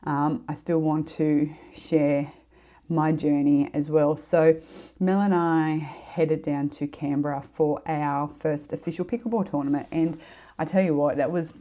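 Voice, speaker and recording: A woman's voice: deep voice, steady tone, measured pace. A middle-aged woman with a head cold, hosting her own podcast in a casual, earnest, rambling way. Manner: warm, earnest, rambling with fillers. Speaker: podcast host. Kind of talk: casual solo podcast chat.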